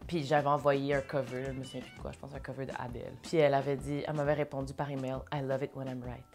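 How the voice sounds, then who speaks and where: A woman from Montréal with a Québécois French accent, chatting casually as an interview guest and mixing English phrases into her French. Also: sits low. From Montréal, Québec. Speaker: interview guest, singer and dancer.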